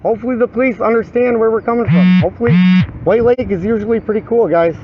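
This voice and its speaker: A man's voice: sits high, fast. A man with a strained, wearing voice, talking in an insistent, repetitive plea as he livestreams a dispute. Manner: insistent, pleading, repeats himself. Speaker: livestreamer filming own dispute with police. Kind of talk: agitated pleading to officers and viewers. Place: outdoors by a street with traffic. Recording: dull lo-fi outdoor recording, faint traffic noise.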